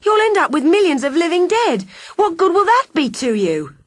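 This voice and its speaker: A woman's voice: on the high side, big pitch swings, moderate pace, pushed and bright, no echo, pitched above the performer's own voice, heavily acted. A woman voicing a defiant, brave young woman held captive, speaking sharply and challengingly.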